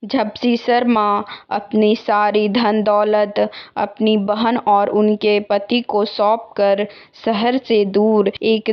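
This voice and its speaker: Slow-paced woman telling a children's story. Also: medium pitch, clear, resonant tone. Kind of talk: scripted children's bedtime story narration. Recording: dull lo-fi narration audio.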